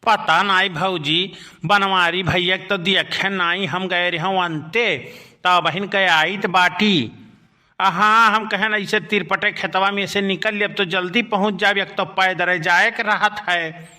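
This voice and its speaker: A sly, tattling young village man in a cartoon comedy, voiced by a man in a high, bright tone. He drawls slowly in an Awadhi accent, and he is deferential to his elders. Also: fairly even intonation, exaggerated voice.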